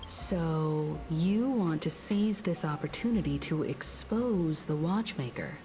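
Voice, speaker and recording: Composed, unhurried female traveller. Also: deep voice, animated. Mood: composed, probing. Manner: composed, deliberate, analytical. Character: composed, perceptive adult woman travelling companion. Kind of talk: video game story voice acting. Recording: prominent game music behind.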